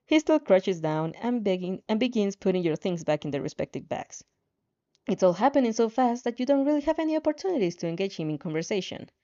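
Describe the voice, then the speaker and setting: A woman reading fan fiction aloud in an animated way, now and then stumbling and rereading a phrase, on a slightly dull recording. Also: medium pitch. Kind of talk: animated story reading with asides.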